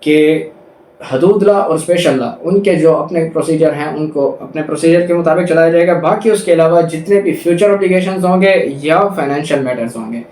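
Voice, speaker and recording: A man's voice: a little high, a little mellow. A man with a Pakistani accent giving an explanatory law lecture in a didactic way, repeating and rephrasing his points. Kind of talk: explanatory law lecture, reading statutes aloud then explaining. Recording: roomy echo, distant mic, faint noise.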